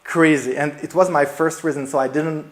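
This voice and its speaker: Halting French-accented man from Quebec. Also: fairly high pitch, steady tone. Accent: Québécois French. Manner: halting, hesitant, full of restarts and fillers. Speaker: guest YouTuber. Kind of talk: casual product chat. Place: 3D printing shop.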